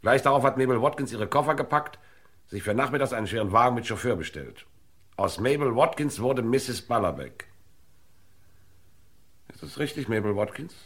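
Guy acting a shrewd clipped police inspector, old 60s radio crime play, really animated delivery. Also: medium pitch, normal speed, pauses often, lightly acted. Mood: stern, accusing. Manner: clipped, authoritative, interrogating. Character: brisk, shrewd, commanding male police inspector.

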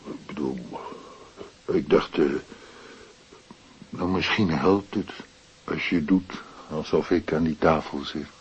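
An actor playing a wry, down-to-earth middle-aged widower in a radio drama, plain-spoken and terse. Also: male voice, a bass voice, fairly even intonation, slow, long pauses, slightly rough, lo-fi sound, exaggerated voice. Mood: teasing, a little hurt. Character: wry, down-to-earth widower, night worker who paints.

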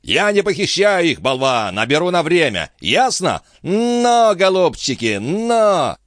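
A man's voice: on the high side, sing-song, normal speed, a bit bright, no echo, studio quality, pitched above the performer's own voice. A man voicing an overbearing, cool-headed viscount in a storybook reading, with a barking, insolent manner. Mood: brisk, insolent. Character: brash, cool-headed, overbearing male viscount, quick to threaten.

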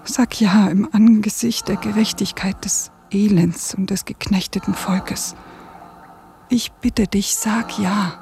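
A woman's voice: mid-range voice, fairly even intonation, takes it slowly, vocal fry, dark tone, faint music behind. An actress playing a tender, anguished young revolutionary woman in a German radio drama, intense and pleading, often dropping to a whisper. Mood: urgent pleading.

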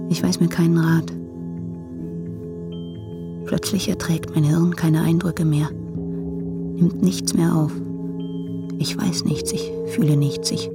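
An actress playing a lonely, despairing young woman who confesses in letters in a radio drama, with a husky, halting, subdued delivery. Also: low-pitched, exaggerated voice. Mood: despairing, numb. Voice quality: breathy, husky with creaky edges.

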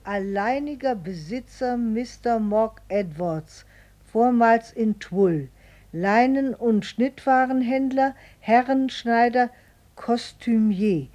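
An actress playing a postman's wife who reads a letter aloud slowly and deliberately in a radio play. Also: fairly high pitch, dark tone. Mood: reading. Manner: slow, deliberate reading aloud.

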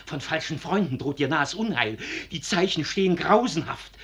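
A frantic court astrologer in an old radio play. His high voice is theatrical and imploring, the sound of a prophet of ruin begging to be believed. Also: expressive, normal speed, a bit bright. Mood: alarmed warning. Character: agitated, doom-crying male court astrologer. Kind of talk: 1950s German radio drama stage acting.

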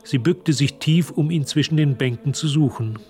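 A man's voice: on the high side, normal speed, a little mellow. A man reading scripted literary narration for a German radio drama. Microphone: close, dry studio microphone.

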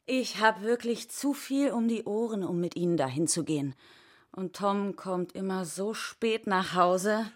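A woman's voice: medium pitch, measured pace, close to an everyday voice. An actress playing a harried, apologetic housewife and mother in a radio play, chatty and flustered.

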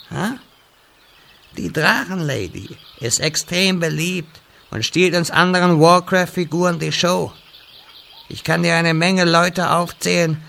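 Man acting as touchy grand wizard, slightly high voice, unhurried, theatrical, slangy when flustered, fantasy parody audio play. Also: vocal fry, sharp tone, full character voice. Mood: indignant.

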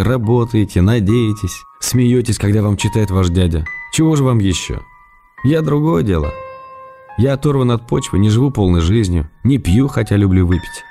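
A gently ironic writer, homesick and unwell, writes tender letters from afar. His very low voice is dark and mellow, unhurried and wry, over faint music. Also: lively intonation. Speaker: writer reading his letters in a radio play. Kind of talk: radio drama letter reading.

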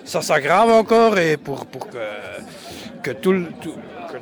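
Brief: non-native man, slightly high voice, halting answers full of restarts, outdoor protest interview. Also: expressive. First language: not French. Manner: halting, many restarts and fillers. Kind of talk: hesitant street interview answers. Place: outdoor street demonstration.

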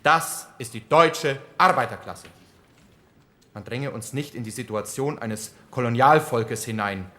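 A man's voice: medium pitch, unhurried, pushed and bright. An actor playing a fiery male communist politician giving an emphatic, declamatory parliamentary speech in a 1940s radio documentary drama. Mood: defiant, emphatic.

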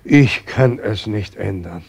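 A man's voice: on the low side, takes it slowly, creaky, darkish tone, breathy edge, exaggerated voice. A man in a German radio play, playing God as a helpless, plaintive old man who laments. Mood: despairing. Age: older.

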